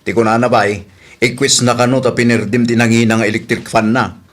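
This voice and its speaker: A man's voice: mid-range voice, little pitch movement, brisk, lightly acted. Radio comedy drama: man plays protective elder brother, middle register, questioning, indignant, plain delivery. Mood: indignant, probing. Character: protective, indignant older brother of a young woman.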